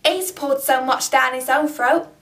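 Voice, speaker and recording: A woman's voice: high voice, fairly even intonation, measured pace, fairly bright tone. A woman playing a brash young flower girl with a Cockney accent, gossiping in a chatty way, recorded from a distance. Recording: distant camera mic.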